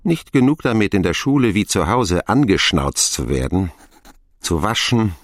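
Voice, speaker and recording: A man's voice: low-pitched, moderate pace, dry recording, clean studio sound. An actor playing a middle-aged man who wryly recalls his boyhood as narrator of a radio play. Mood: bitter. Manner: wry, expressive storytelling. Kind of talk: scripted first-person narration, radio play.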